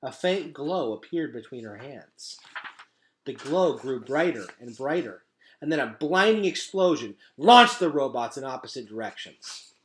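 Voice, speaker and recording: A man's voice: mid-range voice, expressive, a little slow. Man reading a storybook aloud with dramatic emphasis. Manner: dramatic, emphatic delivery with hushed drops. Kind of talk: storybook read-aloud narration.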